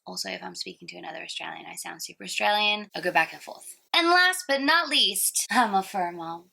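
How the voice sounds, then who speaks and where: A woman's voice: lowish voice, lively intonation, fairly quick, gravelly, sharp tone. A woman in her thirties from Singapore, narrating her own story in a playful, self-mocking way. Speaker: singer and host. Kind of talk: scripted personal narration.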